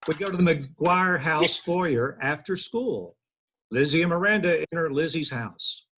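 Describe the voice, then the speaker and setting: Male narrator for script directions, lighter higher pitch, some background noise. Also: animated, unhurried, a bit bright. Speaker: stage directions reader.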